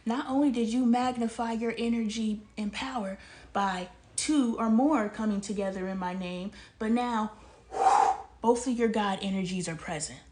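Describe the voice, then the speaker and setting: A woman's voice: a little high, moderate pace, a little mellow, a little hiss or hum. Passionate woman from Texas, musing aloud. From El Paso, Texas. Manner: passionate, rhetorical questions, drops to near-whisper at times. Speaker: vlogger. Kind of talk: casual spiritual commentary monologue.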